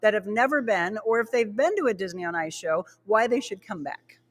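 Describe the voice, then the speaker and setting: A woman from Saint Louis hosting a talk show, chatty and quick to laugh as she interviews guests. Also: medium pitch. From Saint Louis, USA. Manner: chatty, laughs often, tells quick anecdotes. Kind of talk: casual interview chat.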